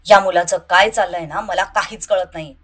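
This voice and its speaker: A woman's voice: fairly low pitch, moderate pace, fairly bright tone, voice pushed down. A woman voicing a baffled young man, the groom's exasperated friend, grumbling familiarly in an audio story.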